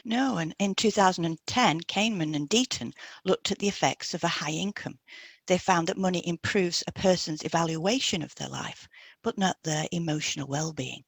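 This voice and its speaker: A woman reads educational narration from a script as a co-host. Her pitch is a touch low and her tone is breathy and husky. The sound is dry, with no room around it. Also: normal speed, no room sound. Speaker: podcast co-host and hypnotherapist.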